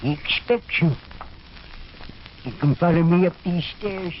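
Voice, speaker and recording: An actor playing a crafty, half-mad old male servant with a slow, sinister manner in an old radio horror play. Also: sits high. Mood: sinister, calm. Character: crafty, demented-looking old manservant of a haunted house. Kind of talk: 1940s radio horror acting. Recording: muffled, noisy old radio recording.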